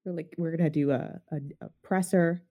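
Woman, podcast host, congested, nasal, low, gravelly, casual chat. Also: fairly even intonation, brisk, dark tone. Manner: chatty, self-mocking, laughs often. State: congested from a sinus infection.

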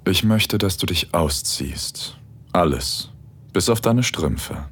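A man playing a relaxed, teasing, seductively commanding husband in an erotic audio drama. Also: deep voice, takes it slowly, slightly rough, full character voice.

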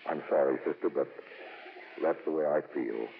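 An actor playing a blunt, stubborn, defensive homeowner in the emphatic style of 1950s radio drama. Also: male voice, very deep voice, normal speed, creaky. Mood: firm, apologetic. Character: stubborn, blunt, defensive middle-class homeowner, adult man. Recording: muffled, noisy old radio broadcast.

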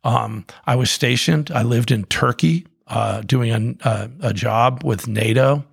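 A man's voice: mid-range voice, a little slow, vocal fry, slightly rough, no echo, clean studio sound. A middle-aged man telling his story in a casual, rambling way, full of restarts and fillers. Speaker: guest, coffee company co-founder.

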